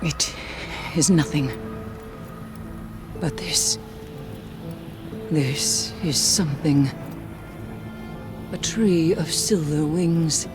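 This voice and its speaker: A woman's voice: low voice, very slow, long pauses, over music. A woman playing a haunted, cryptic mystic warrior in a video game, solemn and intense. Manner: solemn, cryptic, breaking into whispers.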